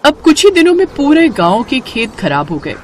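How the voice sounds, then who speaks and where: Female narrator for cartoon moral tales; highly animated, expressive delivery, faint background noise. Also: normal speed. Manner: expressive storytelling. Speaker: story narrator.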